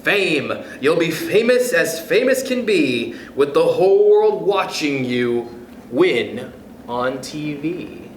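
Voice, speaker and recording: A fairly high-voiced man reads a children's picture book aloud slowly and deliberately, his pitch swinging widely as he plays with each line. He chuckles between passages. The room around him sounds echoey. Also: animated, a little hiss or hum. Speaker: youth club storyteller.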